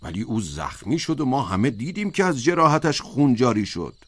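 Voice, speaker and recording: A man voicing a loyal, slow-thinking farm animal in a storybook, plain, earnest and deliberate. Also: mid-range voice, very animated, a bit bright, husky, no echo. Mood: doubtful, earnest. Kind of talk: audiobook character voice.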